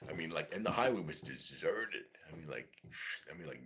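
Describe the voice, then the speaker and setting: A man's voice: extremely low pitch, animated, a little fast, a bit bright. A man in his forties with a Brooklyn New York accent, rambling casually and profanely on a livestream, full of "you know". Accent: New York City. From East New York, Brooklyn. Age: 40s. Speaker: livestream host. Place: home in Mississippi.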